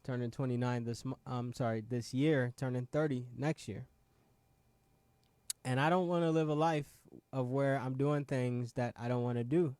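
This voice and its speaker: A man's voice: mid-range voice, steady tone, moderate pace, darkish tone, clear, resonant tone, no echo. Emphatic young man with rhetorical questions. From Ghana. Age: 20s. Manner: frequent restarts and "right?" asides. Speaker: show host. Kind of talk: motivational talk to viewers.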